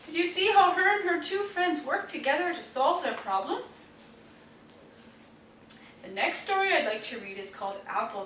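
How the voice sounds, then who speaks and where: A woman narrates children's storybooks in a high, very animated voice. She tells them slowly and expressively for young listeners, recorded in a roomy space at some distance from the mic. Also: slight background noise. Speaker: storybook reader. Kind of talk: children's read-aloud narration.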